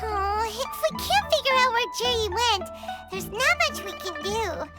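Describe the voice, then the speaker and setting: A woman's voice: very high-pitched, expressive, unhurried. A woman voicing a small, curious, eager fairy companion with a childlike, perky manner in a video game. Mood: curious, puzzled. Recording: music playing behind the voice.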